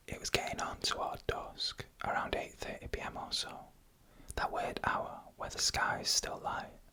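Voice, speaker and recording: A storyteller who whispers throughout, with a crisp, bright edge to the breath. The delivery is scripted ASMR narration of eerie first-person tales, laid out in short, hushed phrases with pauses between them.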